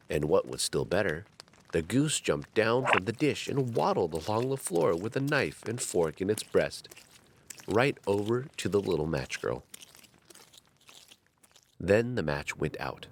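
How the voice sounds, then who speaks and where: Deep-voiced man narrating with lively, sweeping intonation. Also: slight background noise. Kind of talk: scripted fairy tale narration.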